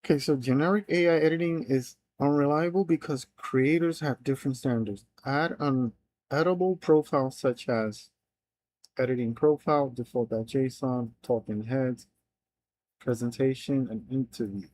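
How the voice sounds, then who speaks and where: A man's voice: medium pitch, a little mellow, low-quality recording. A man whose first language is Spanish, streaming live as a developer, reading plans aloud and thinking through them with frequent restarts and trailing phrases. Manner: halting, reads plans aloud with many restarts.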